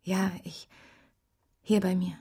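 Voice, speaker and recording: An actress playing a defiant, imperious sixteen-year-old girl in a radio drama, with a breathy, husky voice. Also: lowish voice, flat delivery, takes it slowly, mellow, full character voice. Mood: pleading, subdued. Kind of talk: intimate modern German radio drama acting.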